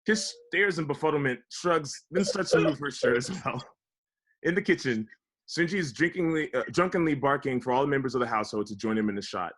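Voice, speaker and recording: A man's voice: mid-range voice, lively intonation, a little fast, fairly bright tone. A man narrating scripted stage directions for a table read. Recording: online video call table read.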